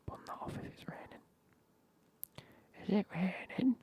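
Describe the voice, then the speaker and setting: A man's voice: measured pace, mellow. Whispering man chatting on stream. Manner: whispering, banter with chat, swearing. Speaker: live streamer. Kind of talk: whispered casual stream chat.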